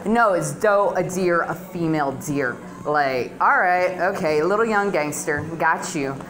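A woman's voice: fairly low pitch, a little slow, fairly bright tone, rough recording. A middle-aged woman performing stand-up at an open mic, telling stories in a crude, slangy, conversational way into a handheld stage mic. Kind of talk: stand-up comedy routine. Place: small dim comedy club stage, indoors. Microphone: handheld stage microphone, filmed on phone close below.

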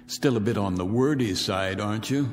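A man's voice: lowish voice, normal speed, natural voice. An actor playing a bitter, vengeful man who once was a disgraced soldier, taunting his guests with silky, theatrical menace in a radio drama. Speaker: host of the gathering. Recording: reverberant radio drama with music.

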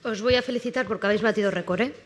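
A woman moderating an academic panel, introducing speakers in a friendly, quick way at a table mic in a lecture hall. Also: medium pitch, background noise. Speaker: session moderator. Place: lecture hall with a large audience.

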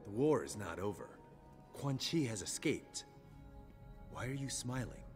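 An actor playing a grave, stoic warrior who speaks tersely and seriously in a video game. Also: male voice, on the low side, big pitch swings, unhurried, music in the background. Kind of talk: video game cutscene acting.

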